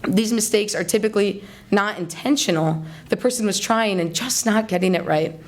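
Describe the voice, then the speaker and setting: This is a young American woman with a medium-pitched voice. She speaks as a student orator, delivering a prepared persuasive address. Her phrasing is earnest and deliberate, shaped for public speaking. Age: young adult. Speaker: student speech contest finalist. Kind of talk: rehearsed persuasive public speech. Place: college hall, before a live audience.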